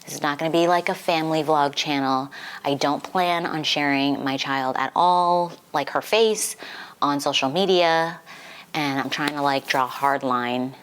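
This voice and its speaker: A woman's voice: low voice, normal speed, a little hiss or hum. A young woman chatting informally and giggly in a casual vlog, saying "like" often, her voice slightly congested from getting over the flu. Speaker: book vlogger.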